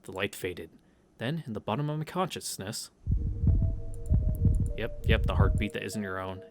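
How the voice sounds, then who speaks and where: Low-voiced man reading aloud casually. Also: animated, moderate pace. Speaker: streamer reading a visual novel aloud. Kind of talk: casual read-through with commentary. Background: game music, cicadas outside.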